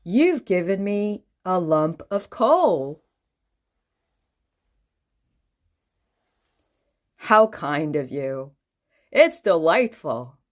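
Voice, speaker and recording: Storybook gentleman played by a woman: polite, cheerful, reassuring, unhurried with lively intonation. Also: on the low side. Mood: gracious, delighted. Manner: courteous, reassuring.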